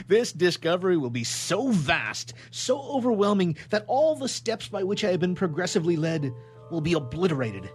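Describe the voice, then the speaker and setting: A man's voice: high-pitched, big pitch swings, moderate pace, slightly rough, faint music behind, exaggerated voice. A man playing an obsessed young scientist in a radio drama, speaking in a grandiose, florid theatrical manner. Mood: exultant, secretive.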